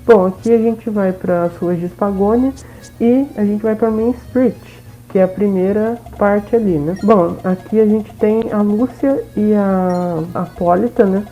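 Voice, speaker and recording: A woman's voice: mid-range voice, unhurried, faint music behind. A woman with a Brazilian accent giving a casual, informal let's play recap as voice-over, often checking in with listeners. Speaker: gaming video host. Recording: dull lo-fi voice-over.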